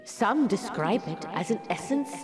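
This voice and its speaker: A woman's voice: mid-range voice, animated, unhurried, big-room sound, over music, natural voice. An actress playing a studious, precise female scholar in a video game, explaining formally. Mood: studious, explanatory. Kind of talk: scripted video game cutscene acting.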